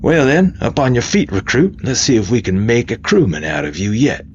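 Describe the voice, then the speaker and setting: A man voicing a gruff, bullying military drill sergeant who barks sardonic taunts in an audiobook. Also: medium pitch, huge pitch swings, normal speed, lo-fi sound, slight background noise, voice pushed up. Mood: barking orders. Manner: loud, barking, sardonic. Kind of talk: dramatised audiobook character voice.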